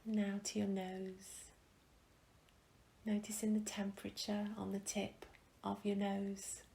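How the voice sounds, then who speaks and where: A woman leading a guided meditation in a gentle, calm, soft and breathy voice, with long pauses, often trailing into a whisper. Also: medium pitch. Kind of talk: guided meditation, soothing instruction.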